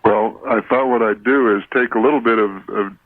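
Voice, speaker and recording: A man's voice: mid-range voice, fairly even intonation, a little fast, no room sound. An American man calling a radio show, asking his question casually in a polite, plain-spoken way over the telephone. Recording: tinny, narrow telephone line.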